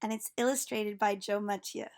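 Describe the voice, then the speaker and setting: A woman's voice: mid-range voice, steady tone, moderate pace. A woman reading a children's storybook aloud, narrating like a teacher to young listeners.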